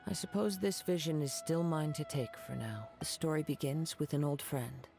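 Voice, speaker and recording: A man voicing a calm young male wandering samurai in an ASMR fan voice-over of game lines, speaking softly and in a measured way. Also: fairly high pitch, gravelly, faint music behind.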